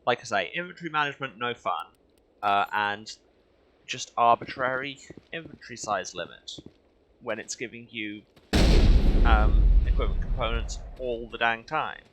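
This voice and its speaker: A man's voice: medium pitch, normal speed, noisy background. A man streaming a video game with casual, wry, grumbling commentary and a sardonic way of talking.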